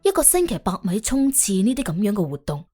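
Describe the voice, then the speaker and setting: A woman narrating an audiobook in an animated, colloquial storytelling style with a wry, expressive manner. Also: medium pitch, fast, husky, faint music behind.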